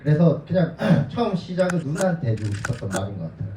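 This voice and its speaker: A soft-spoken film director answers questions after a screening. His voice is deep-toned and mellow. He sounds far from the mic, dulled and echoing around a cinema hall. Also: big pitch swings, fast talker, a little hiss or hum. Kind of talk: festival Q&A answer. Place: cinema auditorium. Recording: dull, roomy, distant hall sound.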